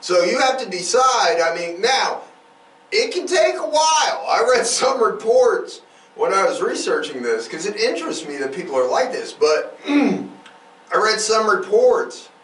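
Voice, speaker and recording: Casting: male podcast co-host, very lively pitch swings, conversational and rambling, recorded a bit far from the mic in an echoing room. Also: a little slow. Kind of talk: casual conversational true-crime chat. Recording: roomy, distant mic, faint noise.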